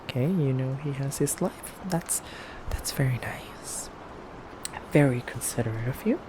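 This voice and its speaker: A man playing a haughty, teasing pure-blood vampire interrogating a human in an intimate ASMR roleplay, with condescending politeness and hesitant pauses. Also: medium pitch, expressive, close to an everyday voice, low-quality recording. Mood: probing, mock-polite.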